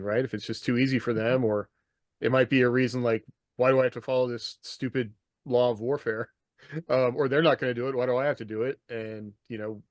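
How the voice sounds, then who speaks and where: A man's voice: mid-range voice, dry recording. A man with a mid-range voice, recorded close and dry, speaks as a podcast co-host. He muses aloud in a casual, reflective way. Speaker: podcast co-host, military veteran. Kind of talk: casual panel discussion. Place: indoors, video call.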